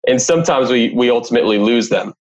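A man's voice: mid-range voice, lively intonation, quick pace. A man hosting a podcast interview, asking questions in a polite, encouraging way. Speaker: podcast host.

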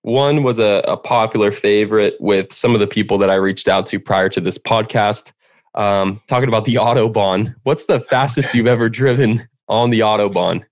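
Podcast host: American man, lowish pitch, chatty and informal interviewing. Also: normal speed. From United States. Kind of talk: casual interview chat.

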